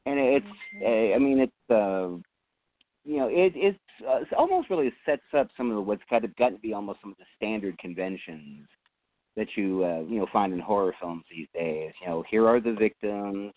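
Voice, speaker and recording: A man's voice: lowish voice, lively intonation, moderate pace, no room sound. A man on a talk show panel, hesitant and filling his talk with many uhs and restarts. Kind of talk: casual panel chat. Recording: dry tinny phone-line audio.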